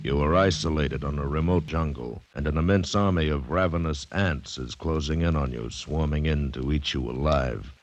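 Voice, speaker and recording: Resolute, deliberate man, extremely low voice over music, vintage radio drama. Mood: ominous. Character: grave, resolute man in an adventure tale. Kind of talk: 1940s radio adventure drama acting.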